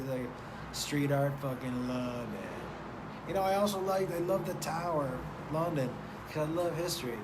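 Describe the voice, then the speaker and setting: Loose, profane man hosting a live stream. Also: mid-range voice. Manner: loose, profane, rambling and playful. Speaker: host of a live stream show. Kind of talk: casual live-stream chat, reading viewer comments. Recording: noticeable background noise.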